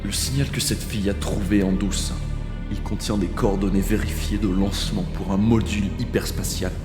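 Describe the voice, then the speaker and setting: A man's voice: on the low side, fairly even intonation, moderate pace, close to an everyday voice. A man voicing a stern, anxious city leader who talks in an urgent, commanding way. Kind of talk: amateur audio drama acting. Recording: echoey hall, under music.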